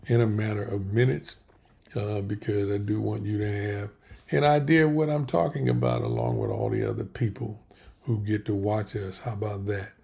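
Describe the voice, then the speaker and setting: A man's voice: on the low side, moderate pace, gravelly, a little mellow. A man, a pastor, hosting a livestream in a casual, rambling way with many restarts, and he is losing his voice. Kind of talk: casual livestream talk with prayer.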